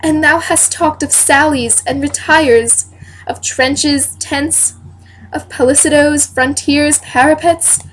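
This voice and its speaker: An anxious noblewoman, played by a young woman rehearsing a stage monologue, pleads lovingly with her husband in Shakespearean verse. Her voice is rather high and earnest, with faint noise behind it. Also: fairly even intonation, takes it slowly, a bit bright. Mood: worried, pleading. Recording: noisy amateur home recording.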